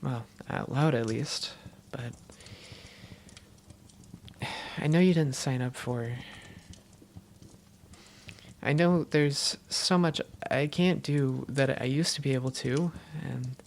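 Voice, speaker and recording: A woman acts out an affectionate vampire girlfriend with a low voice full of gravelly fry. She talks intimately and teasingly, a little halting and unsure of herself, as in a cozy roleplay audio. There is faint noise behind her. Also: darkish tone. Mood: hesitant, vulnerable. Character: affectionate, self-doubting vampire girlfriend, playful and tender. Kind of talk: cozy roleplay audio, one-sided conversation.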